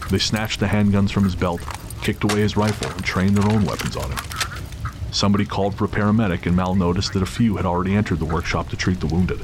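A man reading a dramatic scripted story as the narrator of an audio drama. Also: sits low. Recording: clear background noise and ambience.

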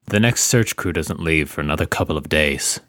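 A man playing a stern, middle-aged guard commander in an audio drama, speaking formally and with authority in a husky voice. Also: a bass voice, brisk, fairly bright tone, no echo. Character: stern male gate guard commander, authoritative, rule-bound.

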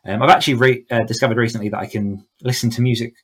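A man's voice: mid-range voice, fairly even intonation, racing, husky. A man chatting casually as a podcast guest, explaining his thoughts in a reflective way. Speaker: podcast guest, author coach. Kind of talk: casual interview chat.

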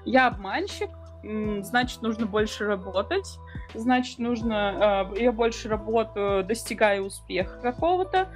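A woman's voice: mid-range voice, moderate pace, soft background music. A woman co-hosting a podcast, talking informally and a little hesitantly, with a slight stammer. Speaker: podcast co-host.

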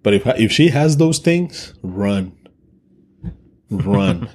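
A man's voice: on the low side, sing-song, takes it slowly, darkish tone. A man co-hosting a podcast, talking bluntly and crudely in casual chat, joking with his co-host. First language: Spanish.

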